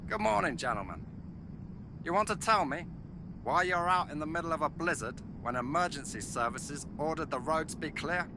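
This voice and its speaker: Man acting a stern, fairly high-voiced policeman. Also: animated, normal speed, background noise. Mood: stern, suspicious. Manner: authoritative, questioning. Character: stern male police officer questioning drivers. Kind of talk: analog horror drama acting.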